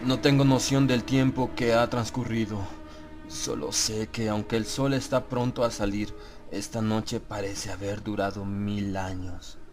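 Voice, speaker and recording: A man reading a first-person horror audiobook as narrator, with dramatic, measured storytelling and deliberate pauses. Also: fairly low pitch, flat delivery, music in the background.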